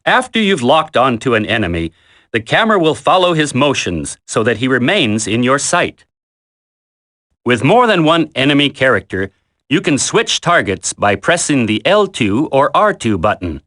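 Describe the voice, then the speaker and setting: An actor playing a military instructor in a video game tutorial, coaching a recruit in a firm, deliberate, commanding way. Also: male voice, mid-range voice, big pitch swings, a bit bright. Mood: instructive, firm.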